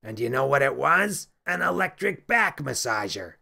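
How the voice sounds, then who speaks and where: Creaky, grumpy little Christmas elf. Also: male voice, medium pitch, big pitch swings, moderate pace, pushed and bright, heavily acted. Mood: complaining. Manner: complaining, sneering, stern. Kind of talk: children's audiobook character voice.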